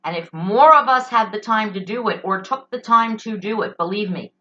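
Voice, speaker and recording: Bright-voiced American woman, dull lo-fi recording. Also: mid-range voice, normal speed. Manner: indignant, emphatic, complaining. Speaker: solo talk show host. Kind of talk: conversational opinion monologue. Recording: dull, lo-fi sound.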